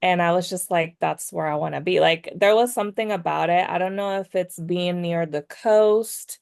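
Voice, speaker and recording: A woman speaks in a lowish, smooth voice with noticeable vocal fry. She tells personal stories casually as a guest, slipping between two languages. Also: moderate pace, a little mellow, no room sound, clean studio sound. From Dominican Republic. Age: 30s. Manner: switches between English and Spanish. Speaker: podcast guest, clothing brand owner.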